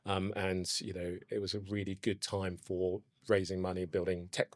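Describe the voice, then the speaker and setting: A man's voice: deep voice, little pitch movement, normal speed, no room sound, studio quality. A Ghana-born man, talking as a brand co-founder in a reflective podcast conversation. He is hesitant, with many fillers and frequent "you know"s.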